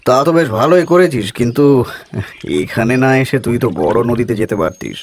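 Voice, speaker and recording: A man voicing a weary, haunted village father in a cartoon ghost story, speaking in an urgent, dramatic, halting way. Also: mid-range voice, very animated, a little hiss or hum. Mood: concerned, warning. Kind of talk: Bengali animated ghost story voice acting. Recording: music behind the voice.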